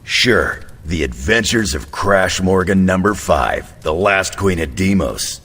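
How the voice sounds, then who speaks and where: A man playing a gruff, blunt tough-guy superhero in a video game, with a gravelly, deadpan delivery in a reverberant space over music. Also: low-pitched, takes it slowly, full character voice. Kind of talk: video game cutscene acting. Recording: reverberant hall, music behind.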